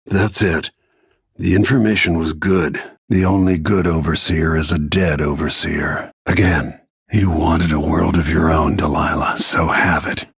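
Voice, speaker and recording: An actor playing a grim, vengeful middle-aged assassin in a video game, speaking tersely in a gravelly, husky voice. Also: male voice, fairly bright tone, no echo, clean studio sound, full character voice. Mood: grim, brooding. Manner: low-key, grim, terse, deliberate.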